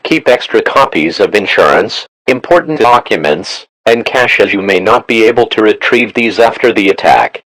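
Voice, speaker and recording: Low synthetic male emergency alert announcer. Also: normal speed, few pauses, no echo, poor audio. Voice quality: synthetic text-to-speech voice. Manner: flat, formal, automated delivery. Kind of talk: scripted emergency broadcast announcement.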